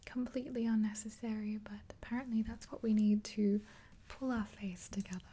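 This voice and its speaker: A woman in her twenties doing a gentle ASMR roleplay in a soft, unhurried, breathy whisper, close to the mic. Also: mid-range voice, fairly even intonation. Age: 20s. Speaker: ASMR video creator. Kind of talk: ASMR roleplay, whispered makeover with rambling chat. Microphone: close to the mic, facing camera.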